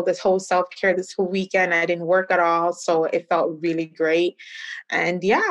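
A woman from Ghana, a guest on a podcast, talks about her work and routines in a relaxed, reflective, conversational way. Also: on the low side, no room sound. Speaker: guest, tech professional and founder. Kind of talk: podcast interview.

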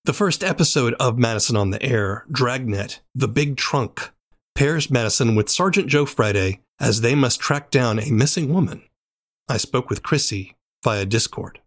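A man's voice: medium pitch, animated, moderate pace. A man hosting a podcast, reading scripted introductions in a narrator's style. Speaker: podcast host.

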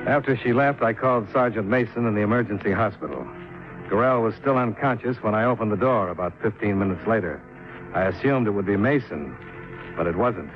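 A man acting a hard-boiled insurance investigator from 1950s radio drama, level-headed and dry. The voice sits low, in a dull old broadcast recording with clear music behind it. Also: brisk, close to an everyday voice. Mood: brisk narration. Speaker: lead actor and narrator of a radio detective series. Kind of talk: 1950s radio drama acting, clipped first-person narration.

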